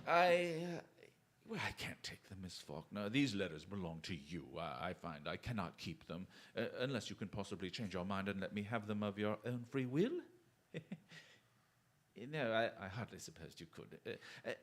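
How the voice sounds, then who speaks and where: A man acting a courteous, shrewd, chivalrous detective in a radio drama, with formal, deliberate speech. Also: lowish voice, very animated, fairly bright tone. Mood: gallant, firm. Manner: formal, polite, theatrically deliberate.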